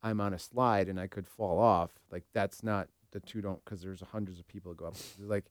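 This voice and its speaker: A man's voice: low-pitched, steady tone, normal speed, no room sound, studio quality. A man co-hosting a talk show as a therapist, speaking casually and reflectively, tentatively working out his thoughts aloud.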